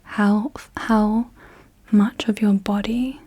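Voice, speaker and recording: Slow breathy whispering woman. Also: medium pitch, little pitch movement. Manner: soft unvoiced whisper throughout; slow, gentle questioning. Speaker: ASMR host. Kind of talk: whispered ASMR guided visualisation. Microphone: close sensitive mic.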